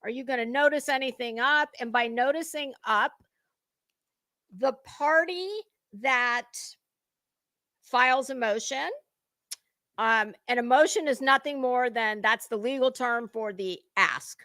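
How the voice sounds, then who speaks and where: A woman with a high, forceful voice delivers live gossip commentary. She is theatrical and sarcastic, stretching words for emphasis at a slow pace, with a blunt, scornful edge. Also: no room sound. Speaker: host of a gossip commentary show.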